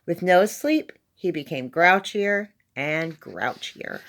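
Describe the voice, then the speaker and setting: A woman's voice: fairly low pitch, animated, takes it slowly, a bit bright. Woman reading a children's story expressively. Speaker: storybook reader. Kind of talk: expressive read-aloud children's story narration.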